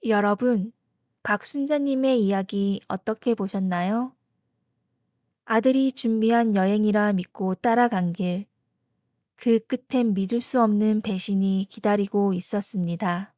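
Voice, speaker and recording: A woman's voice: medium pitch, unhurried, a little mellow, no room sound, studio quality. A woman presenter giving scripted closing commentary addressed to viewers.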